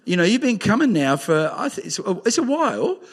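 A man's voice: high-pitched, sing-song, a little fast, slightly rough. A male pastor stands before his congregation and introduces a guest speaker. His voice sits a little above the usual male range, and he talks in an upbeat, rallying way, urging people to welcome her. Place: church hall.

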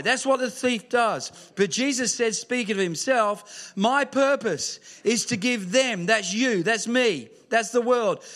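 A man preaching a sermon in a church, mixing scripture with humorous stories and sometimes rising to a shout. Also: very high voice. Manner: lively storytelling with humour, rising to shouts. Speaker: pastor. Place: church hall with screen.

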